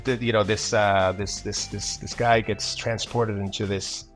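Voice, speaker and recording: Male interview guest, voice actor. Low register, loose anecdotal delivery with repeated words, faint music behind. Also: a little slow. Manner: animated, rambling with repeated words. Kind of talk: casual interview chat with anecdotes.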